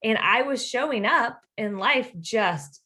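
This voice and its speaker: A woman's voice: a little high, slow pace. A woman podcast host chatting casually about faith and self-help, acting out imagined quotes as she talks. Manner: animated, acts out imagined quotes.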